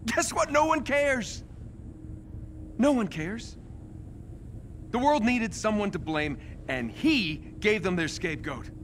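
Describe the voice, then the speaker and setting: An actor playing a cocky, sarcastic genius superhero inventor who is bitter and guarded, talking in wisecracks in a video game cinematic. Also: male voice, high voice, lively intonation, slow, pauses often, fairly bright tone, slight background noise, heavily acted. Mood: angry, bitter.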